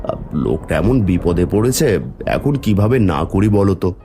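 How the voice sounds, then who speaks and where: A man's voice: deep voice, lively intonation, fairly quick. A man playing a kind, hardworking poor farmhand in a cartoon story, earnest and given to exclamations. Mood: resigned. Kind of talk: cartoon story dialogue acting. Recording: music under the voice.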